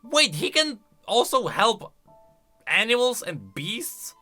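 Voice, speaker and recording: A man delivers casual commentary on game lore in a bright, slightly high voice. His pitch swings in a lively way as he reasons aloud and stresses his points. Soft music plays behind him. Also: slow pace. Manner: expressive, emphatic, thinking aloud.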